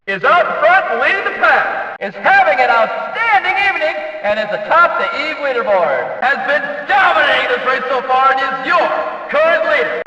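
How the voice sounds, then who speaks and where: A man playing an excitable, showy race announcer in a video game, calling the action with emphatic, stretched-out delivery. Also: extremely high pitch, exaggerated voice. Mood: announcing, upbeat. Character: excitable, booming male demolition-derby race announcer. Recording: roomy echoing reverb, distant from mic.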